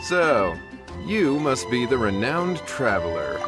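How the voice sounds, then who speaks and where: Polite tavern recruiter, persuasive, animated pitch, welcoming, game dialogue, background music. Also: male voice, slow pace. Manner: polite, inviting, salesmanlike. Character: genial, courteous male tavern recruiter, smooth-talking promoter. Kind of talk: video game voice acting. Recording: game audio with music behind.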